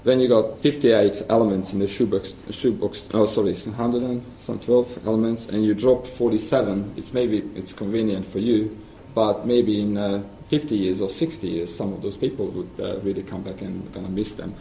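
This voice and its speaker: A man with a Hungarian accent presents at a talk, pausing often with ums and restarting his sentences. His voice is lowish and mellow. The sound is roomy, with a faint hiss behind it. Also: little pitch movement, vocal fry. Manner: hesitant, many ums and restarts. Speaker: software engineer presenting. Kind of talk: conference presentation.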